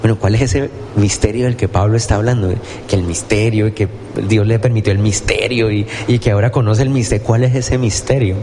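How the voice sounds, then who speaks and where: A man's voice: fairly low pitch, steady tone, brisk, warm tone, slight background noise. Conversational man preaching a sermon. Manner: conversational preaching with rhetorical questions. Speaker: pastor. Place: church.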